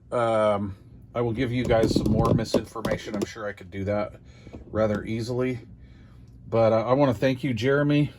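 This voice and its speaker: An older American man chatting casually as he hosts a hobby unboxing video, rambling along in a folksy, self-deprecating way. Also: mid-range voice.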